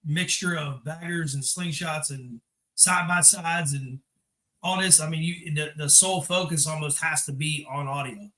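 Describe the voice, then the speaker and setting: An easygoing man chats on a livestream, agreeing readily with the others. His voice is somewhat high and bright, and it comes through an echoey room. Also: normal speed. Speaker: podcast co-host. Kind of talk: casual livestream chat.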